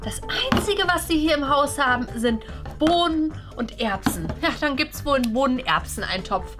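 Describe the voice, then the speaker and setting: A woman's voice: high voice, lively intonation, moderate pace, over music. A woman playing a doting, fussy grandmother in a family sketch, chattering about herself in the third person. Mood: fussy, muttering. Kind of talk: scripted family sketch for children. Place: indoor rooms, camera a short distance away.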